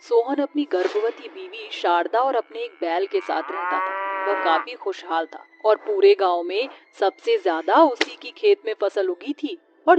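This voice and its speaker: A storyteller narrating a scripted horror story in the manner of a tale read aloud. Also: mid-range voice. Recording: dull lo-fi audio, faint noise.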